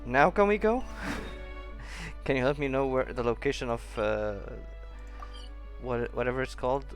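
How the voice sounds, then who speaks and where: A man gives casual playthrough commentary in a medium-pitched voice. He rambles and thinks aloud as a streamer does, with faint game music behind him. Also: moderate pace.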